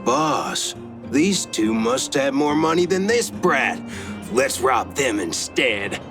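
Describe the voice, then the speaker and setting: A man's voice: mid-range voice, lively intonation, slow pace. A man playing a dim, bullying henchman in a game, taunting as he talks. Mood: scheming. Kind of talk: animated game voice acting. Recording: music behind the voice.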